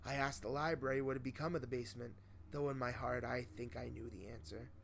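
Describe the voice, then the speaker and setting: A man reading a first-person horror story aloud as host, in a solemn, measured, dramatic storytelling style. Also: mid-range voice, fairly even intonation, creaky, fairly bright tone. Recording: noticeable faint background noise.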